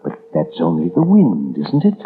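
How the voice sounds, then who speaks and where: An actor playing a mocking, sinister husband in 1930s radio drama, with a theatrical, soothing drawl. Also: male voice, low voice, wildly swooping pitch, normal speed, background noise. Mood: suavely amused. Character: sinister, mocking husband, coolly fascinated by ghosts. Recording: narrow vintage radio, faint music.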